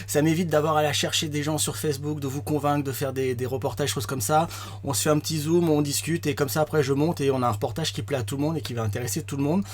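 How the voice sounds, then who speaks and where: A man hosting a live online show in a quick, chatty way. His voice sits on the high side and he talks fast, filling pauses with little hesitations. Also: fairly even intonation. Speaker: host.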